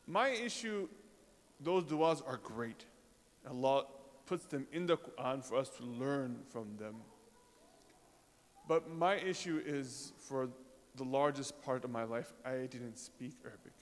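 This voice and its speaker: A man of ordinary pitch preaches in a mosque prayer hall, and a long reverb trails each phrase. He talks to the congregation in a loose, conversational way, pausing with fillers and asking rhetorical questions. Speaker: religious speaker. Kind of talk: informal sermon to a congregation.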